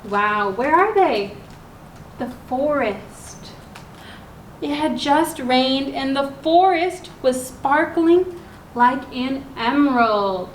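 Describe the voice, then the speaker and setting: High-pitched woman reading to young children. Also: expressive. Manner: simple, instructive, pausing to ask the listeners questions. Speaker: children's story time host at a library. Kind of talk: read-aloud storytelling for young children with questions. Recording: roomy echo, clear background noise.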